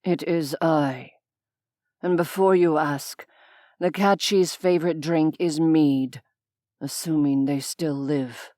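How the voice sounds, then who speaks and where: A woman's voice: low voice, steady tone, takes it slowly, warm tone, no echo, clean studio sound, voice pushed down. A woman voicing a cold, aloof male demon who speaks in a formal, detached way.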